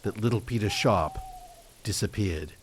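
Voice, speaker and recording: A man's voice: fairly low pitch, a little slow, a little hiss or hum, close to an everyday voice. A man playing an earnest, haunted ex-detective in an audio drama, telling his story in a courteous, old-fashioned way. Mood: storytelling. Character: earnest, haunted male British ex-detective, grieving and obsessive.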